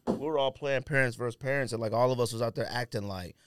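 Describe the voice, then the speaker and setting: A man's voice: medium pitch, fast talker, smooth, no room sound, clean studio sound. A man co-hosting a sneaker podcast in casual, unscripted banter, talking in slang and giving blunt opinions. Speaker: podcast co-host reviewing sneakers.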